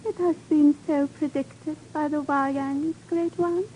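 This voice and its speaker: Over faint old-radio hiss comes a mysterious high priestess. Her high voice moves very slowly, giving each formal line the weight of solemn prophecy. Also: fairly even intonation, dark tone, poor audio. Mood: solemn, prophetic. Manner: slow, incantatory, formal pronouncements. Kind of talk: 1950s radio drama acting.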